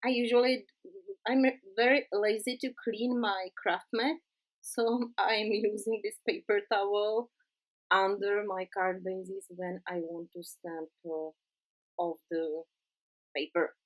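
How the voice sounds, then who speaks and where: A woman with a Czech accent, a non-native English speaker, narrating a card-making tutorial in a halting way with many pauses. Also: mid-range voice, fairly even intonation, low-quality recording. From Czech Republic. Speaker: crafting tutorial host.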